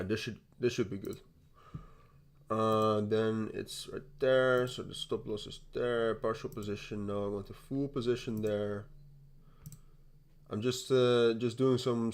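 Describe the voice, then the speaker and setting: Young dutch guy on a live stream, rambly, laughs a lot, keys clacking behind him. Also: fairly low pitch. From Netherlands. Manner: rambling, laughs often, many repeated starts, casual swearing. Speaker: crypto livestream host. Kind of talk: casual livestream chat while trading.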